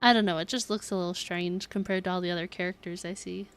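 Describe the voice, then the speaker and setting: A woman's voice: fairly low pitch, steady tone, quick pace, clean tone. A woman giving casual live commentary as she plays a game, chattily musing aloud. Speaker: game streamer.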